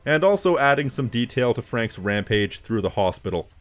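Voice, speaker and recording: A man's voice: mid-range voice, sing-song, normal speed. A man delivering scripted video commentary as an essayist, with snide, wry asides.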